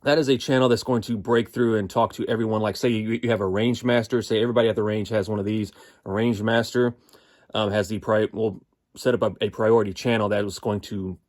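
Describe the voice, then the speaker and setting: A man's voice: on the low side, steady tone, brisk, a little mellow. An American man giving a casual, unscripted gear review in a folksy, explanatory manner. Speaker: product reviewer.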